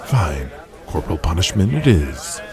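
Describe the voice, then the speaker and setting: A man's voice: low-pitched, big pitch swings, moderate pace, over music. A man playing a cynical, blunt, foul-mouthed 1980s wrestling promoter in an audio drama, speaking with authority. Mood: curt, decisive. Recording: crowd noise behind the voice. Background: arena crowd.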